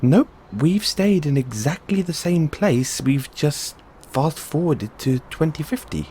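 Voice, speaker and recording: Man playing an excitable mad scientist, wildly swooping pitch. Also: unhurried, a little hiss or hum. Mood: uneasy wonder. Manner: theatrical, geeky, hesitant musing between outbursts. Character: excitable eccentric mad scientist boyfriend with time machine. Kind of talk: audio roleplay acting, addressed to listener.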